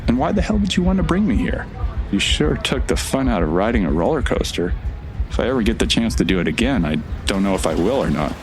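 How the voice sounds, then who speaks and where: Low-voiced, blunt, sardonic wanderer. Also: male voice, sing-song, fast, music in the background, low-quality recording. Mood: accusing, incredulous. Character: blunt, sardonic male time-traveling wanderer. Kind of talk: audio drama acting.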